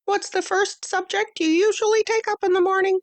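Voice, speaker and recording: A woman voicing a tiny, pompous male mouse who acts as a brisk substitute teacher, lecturing in a crisp, bossy way. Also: extremely high pitch, steady tone, voice pushed up. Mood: curt. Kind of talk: storybook reading.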